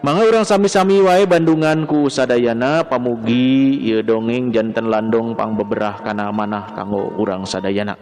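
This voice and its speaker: A man's voice: mid-range voice, fairly even intonation, normal speed, darkish tone. A man with a Sundanese accent narrating a folk tale dramatically as a storyteller. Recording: long reverb, music behind. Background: music.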